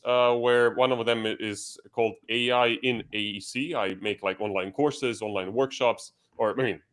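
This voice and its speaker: A man from Croatia with a Croatian accent, talking casually and chattily as a guest on a panel, often interrupting himself. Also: medium pitch. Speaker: guest, tech entrepreneur.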